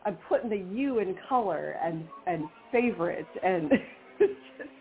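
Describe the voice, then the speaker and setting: A woman's voice: mid-range voice, expressive, measured pace, breathy edge, faint music behind. An American woman, a radio show guest, chatting enthusiastically with frequent restarts. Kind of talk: casual radio chat. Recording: phone-like lo-fi line.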